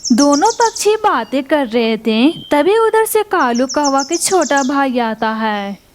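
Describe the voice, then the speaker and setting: A woman narrating a children's cartoon story in a plain, measured way. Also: sits high, very animated, slight background noise.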